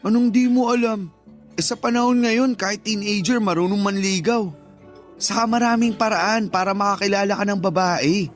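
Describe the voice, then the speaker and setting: Actor as higher-voiced, teasing male buddy. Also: fairly even intonation, moderate pace, soft background music, close to an everyday voice. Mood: pushy, teasing. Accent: Filipino. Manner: joking, nagging, coaxing. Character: teasing, pushy married male best friend in his thirties. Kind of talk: radio drama dialogue.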